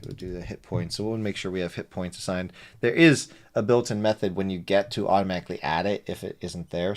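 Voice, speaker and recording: A man with a very low, soothing voice talks through his code on a live stream. He wanders, fills gaps with ums and uhs, and drops dry jokes along the way, with keys clicking behind him. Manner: rambling, self-talk with many fillers and asides. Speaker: game developer streamer. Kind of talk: live coding stream, casual narration.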